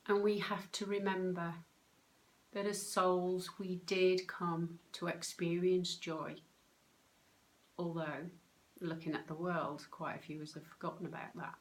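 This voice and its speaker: A woman leading a guided meditation in a calm, soothing, unhurried way, with pauses between short phrases. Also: fairly low pitch, rough recording. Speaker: meditation guide, soul coach.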